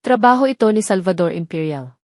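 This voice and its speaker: A woman narrating a scripted romantic drama as an audio storyteller, with deliberate, emotive delivery. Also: medium pitch, lively intonation, a little mellow, dry recording, studio quality.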